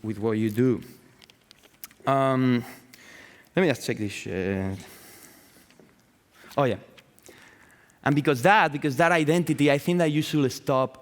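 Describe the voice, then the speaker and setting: A man with a Spanish accent gives an informal talk as an artist, halting and searching for words in English and swearing freely. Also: mid-range voice. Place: on stage in a large hall. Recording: echoey hall with long reverb.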